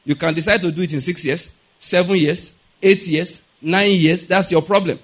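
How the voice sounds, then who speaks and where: High-pitched Nigerian man preaching. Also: fairly even intonation, moderate pace. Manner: didactic, repetitive, checks understanding with rhetorical questions. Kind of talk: sermon, teaching with everyday examples.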